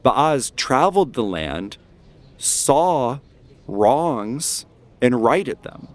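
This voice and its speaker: A man voicing an earnest adventurer in a tabletop game, rallying a crowd with measured, persuasive oratory. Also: mid-range voice, sing-song, pitched above the performer's own voice. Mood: fervent.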